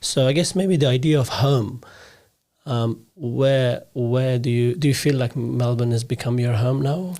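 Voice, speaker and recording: A man's voice: mid-range voice, unhurried, warm tone. A man hosting a podcast, speaking earnestly in a loose, conversational way and often checking for agreement. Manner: earnest, many fillers and repeats, asks for agreement. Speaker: podcast host. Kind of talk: casual interview conversation.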